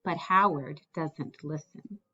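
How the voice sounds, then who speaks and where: A woman reading a children's picture book aloud in an expressive, deliberate storytelling manner. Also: low-pitched, husky, highs cut off.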